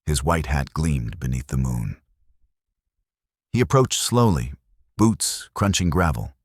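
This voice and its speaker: A man's voice: very deep voice, expressive, slow, husky, dry recording, clean studio sound. A man narrating a dramatic scripted Western story.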